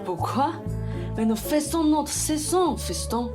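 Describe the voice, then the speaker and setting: A woman's voice: high-pitched, lively intonation, slow pace, over music. A young woman playing a pompous, theatrical older male swindler in a student radio play. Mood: cajoling. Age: young adult.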